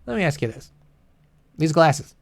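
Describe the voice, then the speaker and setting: A man's voice: on the high side, very animated, moderate pace, creaky, no room sound. A man co-hosting a gaming show with casual, incredulous, sarcastic commentary on movie trailers, swearing freely.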